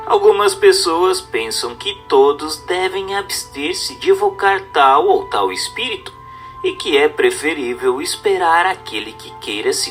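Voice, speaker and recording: Male narrator, bright forceful tone, formal didactic book reading, soft background music. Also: a little high. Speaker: audiobook narrator.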